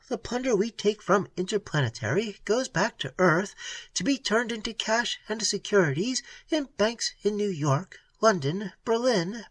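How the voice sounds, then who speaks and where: A woman's voice: medium pitch, dry recording. A reader voicing a fierce, vengeful young woman pirate captain who speaks in a sarcastic, blazing manner, in an audiobook. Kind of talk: audiobook dialogue.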